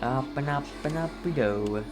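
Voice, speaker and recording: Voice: mid-range voice, moderate pace, music in the background. A game streamer giving casual, rambling commentary, talking to themself and to viewers. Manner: rambling, talks to self and viewers. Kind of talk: casual chatty gameplay commentary.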